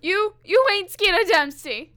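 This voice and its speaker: A woman's voice: very high-pitched, lively intonation, a little slow, sharp tone, heavily acted. A woman playing a jittery, tough-talking small-time crook in an old-time radio drama, brash and defiant at first, then frightened and pleading. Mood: scared, defiant.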